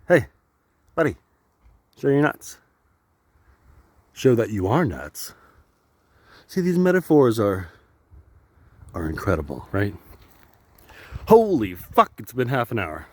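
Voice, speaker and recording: A man's voice: mid-range voice, sing-song, measured pace, pauses often, a little mellow, no room sound. A man vlogging outdoors on a walk, rambling, swearing and laughing at his own jokes. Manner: jokey, profane, laughs often.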